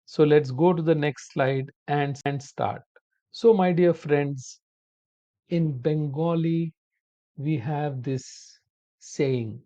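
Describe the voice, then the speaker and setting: A man teaching a spoken-English lesson to viewers, talking slowly and deliberately and calling them his dear friends. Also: fairly high pitch, warm tone. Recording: dull lo-fi audio.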